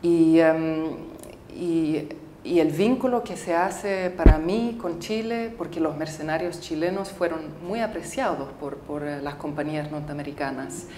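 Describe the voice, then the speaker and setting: Low-voiced woman filmmaker in a roomy studio. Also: mellow, slight background noise. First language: English. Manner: thoughtful, halting, with frequent fillers and restarts. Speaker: filmmaker interviewee. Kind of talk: casual interview answers.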